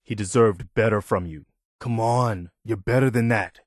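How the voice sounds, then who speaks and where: An actor playing an earnest, dutiful, plainspoken militia lieutenant in a post-apocalyptic video game. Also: male voice, on the low side, normal speed, no room sound, studio quality, natural voice. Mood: indignant. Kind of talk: video game character dialogue.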